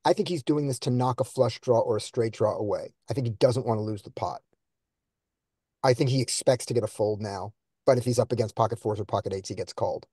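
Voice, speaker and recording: A man's voice: mid-range voice, brisk, mellow, slightly rough, dry recording, studio quality. A man coaching a group through poker hands on a video call, thinking aloud and quizzing his students. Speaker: poker coach leading a study session.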